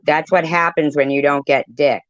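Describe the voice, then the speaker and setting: A woman's voice: deep voice, fairly even intonation, normal speed, no echo. A woman hosts a livestream with casual, profane reaction commentary. She is confrontational and direct. The audio is dull and close, with very little room sound. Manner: blunt, combative, profane. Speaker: livestream host.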